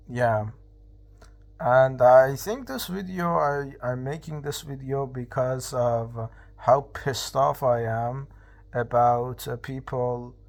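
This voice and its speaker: A young man in his twenties vents in a casual vlog monologue. He talks slowly and swears freely, stopping and restarting his thoughts. His pitch sits in a middle range. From Iran. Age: 20s. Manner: profane, ranting, blunt; halting, many fillers and restarts. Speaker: vlogger.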